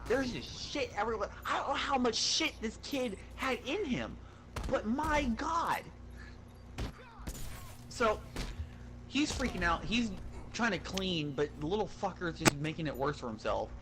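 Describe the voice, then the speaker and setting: A man telling a story in an animated, casual way, swearing and sounding exasperated as he goes. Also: sits high, a little slow, background noise. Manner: profane, exasperated, uses acted-out dialogue.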